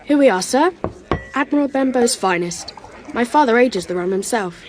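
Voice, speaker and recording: Woman acting a high-voiced, earnest young boy. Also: lively intonation, moderate pace, darkish tone, slight background noise, lightly acted. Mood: polite, eager. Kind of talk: dramatised audio adventure acting.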